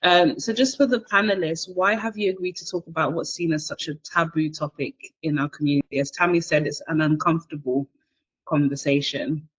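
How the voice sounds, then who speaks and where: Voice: mid-range voice, steady tone, fairly quick, fairly bright tone, breathy edge. A facilitator politely moderating an online panel, giving friendly reminders and handing over to speakers. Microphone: video call. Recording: dull video call audio.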